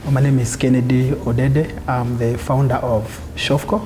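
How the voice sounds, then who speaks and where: Kenyan man, mid pitch, passionate and halting; music bed. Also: level tone. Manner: passionate, searching for words, says "you know what I mean". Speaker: founder of a community organization. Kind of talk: interview testimonial. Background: background music.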